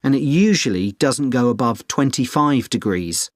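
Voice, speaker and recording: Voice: medium pitch, animated, normal speed, dry recording, studio quality. A speaker in a scripted exam dialogue, explaining costs and tips in a friendly way. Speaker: surfing adviser in dialogue. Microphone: studio microphone, dry.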